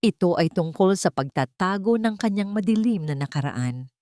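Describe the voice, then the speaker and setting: A woman's voice: fairly low pitch, expressive, normal speed, darkish tone, dry recording, clean studio sound. A woman storyteller reading a scripted dramatic audio story as narrator.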